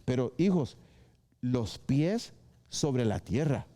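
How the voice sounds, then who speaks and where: A male pastor preaching a live sermon at a church service. He is lively, acts out short dialogues and drops English phrases into his preaching. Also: mid-range voice, slow pace.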